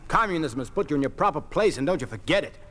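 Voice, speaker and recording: An actor playing a smug, insolent young medical intern in an old radio drama, glib and brash. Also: male voice, fairly high pitch, expressive, fast, a bit bright. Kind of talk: 1950s radio drama acting. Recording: old 1950s radio recording.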